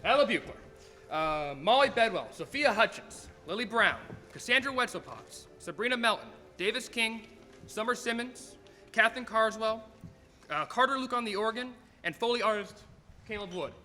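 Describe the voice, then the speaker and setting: A young man serves as announcer and narrator of an old-time radio play. The voice is high and lively, in a reverberant hall with music behind it. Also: normal speed. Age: teens to young adult.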